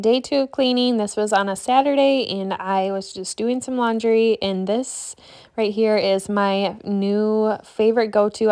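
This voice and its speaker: A woman's voice: medium pitch, a little mellow. A woman in her thirties chatting through a home cleaning vlog as a voice-over, loose and friendly, with frequent ums and restarts. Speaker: cleaning vlogger. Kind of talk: casual voice-over narration.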